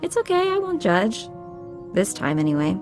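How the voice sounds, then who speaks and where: A woman's voice: fairly high pitch, huge pitch swings, moderate pace, over music. An actress playing a sassy young female hacker sidekick in a video game, with a wry, casual delivery. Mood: playful, affectionate.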